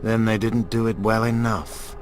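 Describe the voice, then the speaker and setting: Male actor as impatient game warrior, low pitch, curt muttering, music behind. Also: flat delivery, a little slow, lightly acted. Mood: impatient, bitter. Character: grim, impatient male fighter. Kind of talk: video game dramatic dialogue.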